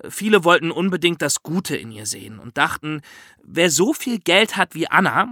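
A German man with a fairly high, bright voice narrates true crime in a conversational way. His intonation is very lively and carries a dry irony, captured in a close, dry sound. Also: normal speed, dry recording. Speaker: podcast host.

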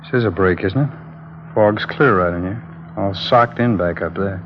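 An actor playing a terse undercover police detective in a 1950s radio crime drama, speaking in clipped, tense lines. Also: male voice, moderate pace, lightly acted. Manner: clipped, tense, low-key dialogue. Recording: old lo-fi radio broadcast with hiss.

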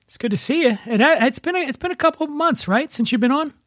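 A man's voice: very high voice, expressive, fast, a bit bright, dry recording, clean studio sound. A man hosting a podcast over a video call, talking in a loose, joking, rambling way. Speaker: podcast host. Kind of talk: casual chat on a video call.

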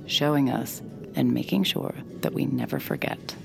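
A woman's voice: extremely low pitch, lively intonation, moderate pace, gravelly, over music, full character voice. An actress playing a blunt, cynical young woman who reads minds, with dry sardonic inner monologue. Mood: brooding. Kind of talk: audio drama acting with inner monologue.

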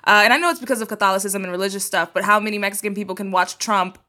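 A woman's voice: mid-range voice, rapid-fire, sharp tone. A woman from Texas guest co-hosting a podcast, chatting casually and comedically in a playful, slangy way. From Texas, United States.

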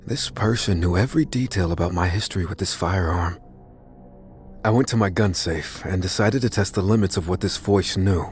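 A man playing an anxious, unassuming narrator who recounts his own mystery in a measured, confessional way for an audio drama. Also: on the low side, lightly acted. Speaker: narrator of a fiction podcast. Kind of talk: first-person dramatic scripted narration. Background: faint music.

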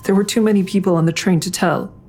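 An actress playing a hesitant, guilt-ridden grown daughter who narrates and confesses plainly in an audio drama. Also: female voice, mid-range voice, fast talker, darkish tone, faint music behind, close to an everyday voice. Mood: grim confession. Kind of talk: audio drama acting with first-person narration.

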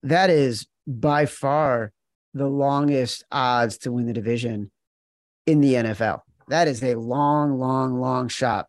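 A man's voice: mid-range voice, takes it slowly, dry recording, clean studio sound. A man hosting a sports betting podcast, talking casually and emphatically, repeating words for effect.

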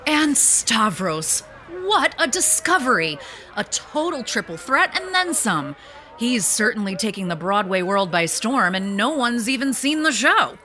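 A gushing red carpet interviewer, with a bright, highish voice and measured pace. She sounds polished, as in an audio comedy, over faint ambience. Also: big pitch swings, slight background noise, exaggerated voice. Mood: gushing, probing. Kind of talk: interviewing in scripted audio drama.

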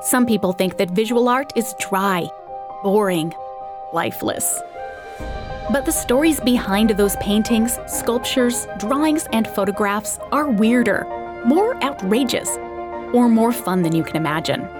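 A woman's voice: a little high, lively intonation, unhurried, over music. A woman hosting an art history podcast, reading a scripted narration in a conversational way with wry asides. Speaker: podcast host.

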